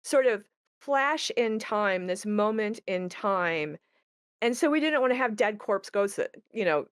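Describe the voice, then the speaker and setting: A woman with a smooth, clean voice of medium pitch talks casually as a co-host. Her delivery is playful and promotional, recorded close and dry. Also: lively intonation, measured pace, dry recording. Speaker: game creator co-hosting a promotional chat.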